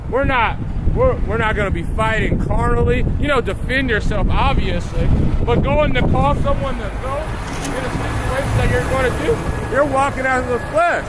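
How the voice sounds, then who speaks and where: Male outdoor preacher, very high pitch, slightly hoarse with a coming cold, emphatic drawn-out scripture delivery, windy noisy livestream sound. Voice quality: slightly raspy. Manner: emphatic, drawn-out declaiming when reading verses. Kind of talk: loud outdoor sermon with scripture reading. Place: outdoors in strong wind.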